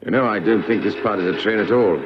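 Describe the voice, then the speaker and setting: An actor playing a brisk, commanding man in a spy thriller radio drama. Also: on the low side, close to an everyday voice. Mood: intent, realising. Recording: muffled, noisy old radio recording.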